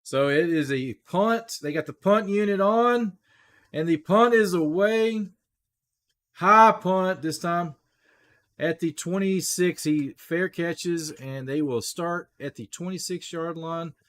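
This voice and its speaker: A man hosting a casual live play-by-play stream, his voice rough, clearing his throat, talking straight to viewers and rising on big plays. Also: fairly high pitch, takes it slowly. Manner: chatty, talks straight to viewers, rises excitedly on big plays. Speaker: livestream play-by-play host.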